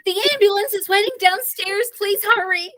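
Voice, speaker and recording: A woman's voice: very high voice, moderate pace, a bit bright. A woman voicing a frantic, tearful mother in a dramatized children's storybook reading, urgent and wailing. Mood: panicked, pleading.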